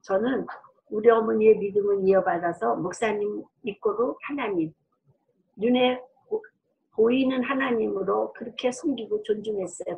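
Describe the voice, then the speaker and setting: A middle-aged woman delivers a sermon as a pastor. Her voice sits on the high side and carries strongly. She speaks earnestly and conversationally, addressing listeners directly and drawing on personal testimony. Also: gravelly, lo-fi sound. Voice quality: loud, strong voice.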